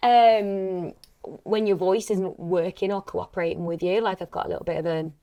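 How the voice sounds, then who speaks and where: A woman with a slightly husky voice, talking casually and reflectively as a voice actress on a podcast. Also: lowish voice, steady tone, moderate pace, dark tone, highs cut off.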